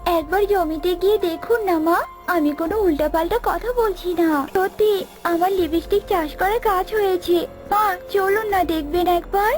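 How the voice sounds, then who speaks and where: A woman's voice: very high voice, moderate pace, soft background music. A woman voicing a naive, earnest, pleading young wife in a cartoon. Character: naive young daughter-in-law, earnest, pleading, simple-hearted. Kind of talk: Bengali cartoon voice acting.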